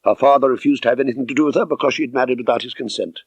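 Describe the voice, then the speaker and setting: A man's voice: mid-range voice, rapid-fire, slightly rough, no echo, lo-fi sound. Man voicing a musing, chatty country doctor. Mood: musing, gossipy. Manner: musing, conversational. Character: chatty, thoughtful country doctor, genial male neighbour. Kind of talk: audiobook character dialogue.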